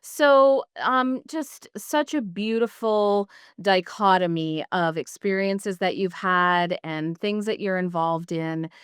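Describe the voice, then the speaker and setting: A woman hosting an interview, asking questions in a measured, affirming way. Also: medium pitch, smooth, dry recording, clean studio sound. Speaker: podcast host.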